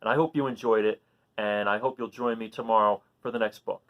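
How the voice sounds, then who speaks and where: A man hosting a children's read-aloud, expressive as he reads and chats, explaining things simply to young listeners. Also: lowish voice, fairly quick, lo-fi sound. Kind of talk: animated storybook reading and casual chat to children.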